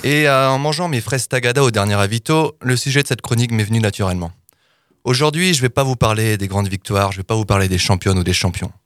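A man's voice: mid-range voice, fast talker, a bit bright, dry recording, clean studio sound. A man in his thirties delivering a scripted humorous column for a podcast in a playful, informal, mock-dramatic way. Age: 30s.

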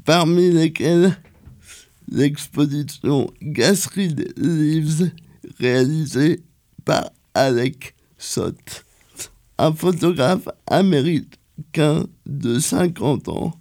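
A man reading a cultural events column on local radio, slow and careful, with some hesitations. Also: on the high side, warm tone.

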